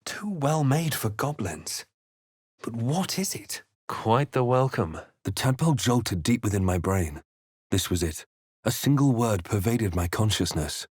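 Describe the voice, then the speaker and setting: A man voicing a grave, watchful fantasy hero in a video game, with measured, dramatic delivery. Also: mid-range voice, lively intonation, darkish tone, breathy edge, dry recording, studio quality. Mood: wary, observant. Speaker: video game player character voice actor. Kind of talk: scripted game narration and character lines.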